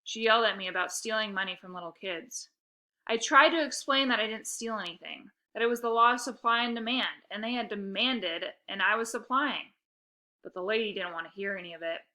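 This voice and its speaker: A woman narrating a children's story aloud with expressive, scripted delivery. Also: mid-range voice, brisk.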